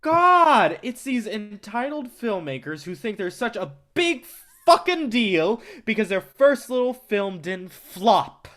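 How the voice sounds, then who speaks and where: A man's voice: high-pitched, sing-song, slow, few pauses, a bit bright, exaggerated voice. A brash film distributor, played by a man in a staged reading. He is cocky and impatient, swearing freely and talking bluntly, as in a stage drama about the movie business. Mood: angry. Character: brash, arrogant male film distributor, impatient and foul-mouthed.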